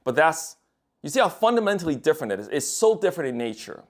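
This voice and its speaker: A man's voice: on the high side, sing-song, fast. A young man, a writer and designer, talking bluntly and profanely to camera in a rambling casual monologue with many restarts. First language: English. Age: young adult.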